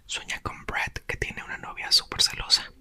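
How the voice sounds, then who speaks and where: Male ASMR reader, breathy whisper, lively bright tone. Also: normal speed. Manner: soft unvoiced whisper throughout. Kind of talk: whispered ASMR book reading.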